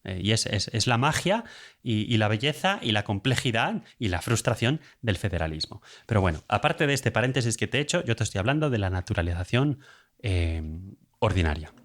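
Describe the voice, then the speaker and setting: Man, accent from Spain, low voice, informal explainer host who talks conversationally and feels his way through each point. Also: very animated, fairly quick, fairly bright tone. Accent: Spanish from Spain. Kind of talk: casual informal explainer monologue.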